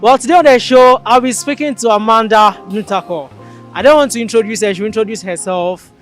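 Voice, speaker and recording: A man hosts an interview show in a notably high voice. He sounds upbeat and promotional, leading with follow-up questions. Faint music plays behind him. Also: brisk, fairly bright tone. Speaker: host.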